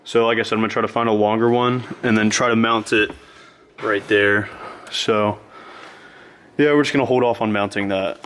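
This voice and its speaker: A man's voice: lowish voice, fairly even intonation, normal speed, a bit bright, a little hiss or hum. Easygoing man chatting through a car job. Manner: easygoing, chatty, plain-spoken explaining. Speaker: host of a car build channel. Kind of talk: casual how-to vlog. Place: garage, inside a vehicle.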